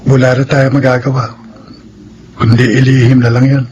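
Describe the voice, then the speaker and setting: An actor playing a calm, secretive young man in a Philippine radio drama, steady and resigned. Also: mid-range voice, moderate pace, lo-fi sound, slight background noise, close to an everyday voice.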